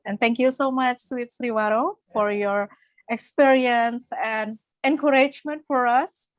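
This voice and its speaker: Female webinar moderator, polite and formal, slightly high voice, dry close sound. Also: steady tone, measured pace, no echo. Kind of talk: formal closing remarks.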